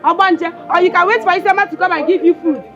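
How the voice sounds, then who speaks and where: A woman's voice: very high-pitched, steady tone, quick pace, a bit bright, muffled recording, soft background music. A Nigerian actress playing a quarrelsome, scornful woman in a film drama, scolding and taunting as she repeats herself. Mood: mocking. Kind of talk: Nollywood film drama acting.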